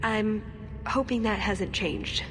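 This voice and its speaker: A woman's voice: medium pitch, slow, slight background noise, natural voice. A woman playing a wry, earnest young elven agent in a video game. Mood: pleading. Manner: flippant and wry, turning earnest.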